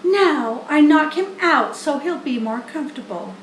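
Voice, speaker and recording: Woman as a small female spider, storybook, thin high voice, pleasant, genteel, matter-of-fact, unhurried. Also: lively intonation, reverberant, a little hiss or hum, low-quality recording. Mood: businesslike. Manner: measured, genteel, candid. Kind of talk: children's storybook character reading.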